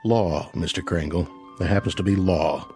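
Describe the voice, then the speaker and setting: An actor playing a calm, firm, skeptical male FBI agent with a measured, polite, official manner in a radio drama. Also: sits low, faint music behind, natural voice. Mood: firm, dismissive.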